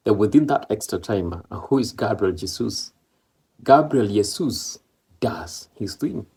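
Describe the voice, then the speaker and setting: Deep voice, husky, gravelly man, east african, rambling football chat with lots of fillers. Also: expressive, unhurried, darkish tone. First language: Swahili or Maloko. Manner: rambling, many fillers and repeats. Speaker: football vlogger. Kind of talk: casual football match commentary.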